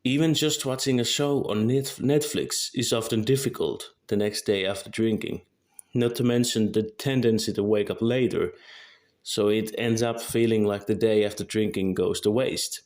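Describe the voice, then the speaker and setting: A man's voice: lowish voice, fairly even intonation, moderate pace, darkish tone. A young man in his early twenties from Finland, with a Finnish accent and Finnish as his first language, reading a scripted monologue carefully and correcting small slips as he goes. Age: early 20s. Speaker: video creator.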